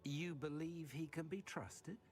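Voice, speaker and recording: A man playing a measured, cautious mentor in a scripted video game. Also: on the high side, soft background music. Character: measured, cautious male mentor weighing plans.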